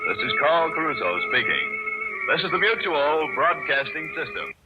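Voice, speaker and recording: A man's voice: a little high, very animated, normal speed. A man announcing in a formal, scripted 1940s radio style. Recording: dull old radio recording with music.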